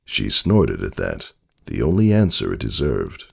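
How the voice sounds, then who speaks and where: A man narrating a story as a dramatic scripted reading, with a gravelly, husky voice and expressive literary delivery. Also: very deep voice, measured pace.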